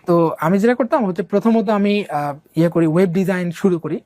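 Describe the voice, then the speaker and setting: A young man from Bangladesh recounts his own life story in a loose, rambling way, with plenty of fillers and English words mixed into his speech. He talks at a brisk pace. The recording is dry and close, with no room sound. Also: high-pitched, a little mellow, no room sound. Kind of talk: casual conversational storytelling.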